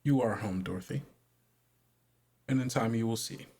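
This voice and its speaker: Religious sister, acted by a man: patient, solemn, softly insistent, formal halting delivery, tabletop game. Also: mid-range voice, gravelly, slightly rough. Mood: calm, unyielding. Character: gentle, insistent female religious authority, Sister May.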